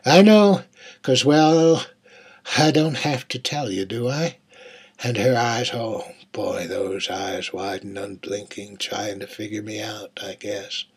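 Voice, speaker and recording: A man performing a dramatic monologue as a menacing, wistful serial killer confessing in an intimate, halting, husky voice. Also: on the low side, exaggerated voice. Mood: wistful, unsettling. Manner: intimate, halting, dropping to near-whispers. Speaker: writer reading own monologue.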